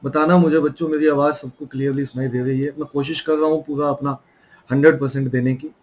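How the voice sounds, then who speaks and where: A man's voice: mid-range voice, little pitch movement, fast talker, a little mellow. A young man with an Indian accent and a sore throat, teaching a math lesson in a coaxing way and calling his students children.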